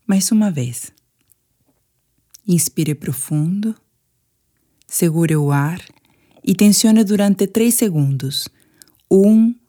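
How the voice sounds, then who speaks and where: A woman's voice: low-pitched, mellow. A woman with a Brazilian Portuguese accent guides a sleep meditation in a soothing, instructive manner.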